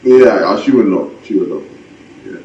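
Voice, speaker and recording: Soft-spoken man on an echoey, distant call. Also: lowish voice, normal speed, muffled recording. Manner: soft-spoken, laughs often, repeats words. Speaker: pastor and guest. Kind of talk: casual interview chat.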